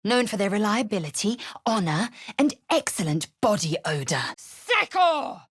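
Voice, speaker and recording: A woman playing a proud, fierce young female alien warrior in a video game, with a raspy voice and clipped, haughty, deliberate delivery. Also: sing-song, dry recording, studio quality. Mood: fierce casting cry. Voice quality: raspy, husky, breathy when low. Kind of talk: video game character acting.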